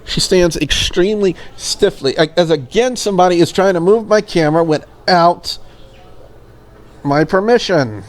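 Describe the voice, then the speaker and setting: A woman's voice: lowish voice, moderate pace. A woman hosting a game playthrough, reading a visual novel aloud and adding casual asides. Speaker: video game playthrough host.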